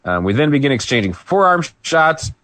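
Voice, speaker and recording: A man's voice: on the high side, very animated, brisk, fairly bright tone, dry recording. A man co-hosting a podcast, recapping a wrestling match in a casual, rambling play-by-play with asides. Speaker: podcast co-host. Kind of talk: casual wrestling review chat.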